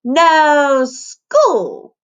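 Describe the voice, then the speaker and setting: A woman voicing an excitable three-year-old girl in a storybook, chirping and yelping. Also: high-pitched, drawn-out words, highs cut off, voice pushed up, heavily acted. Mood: excited.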